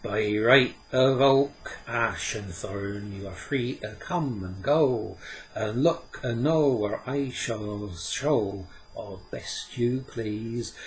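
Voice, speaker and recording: A man voicing a sly, ancient male sprite who talks in a measured way and sometimes chants in a slow sing-song. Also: low voice.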